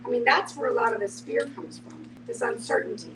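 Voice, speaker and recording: A woman with a fairly high voice comments reflectively in a group discussion. She is affirming and measured, heard at a distance from the mic with plenty of room echo. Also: expressive. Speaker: discussion participant. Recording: echoey, distant mic, noisy hum.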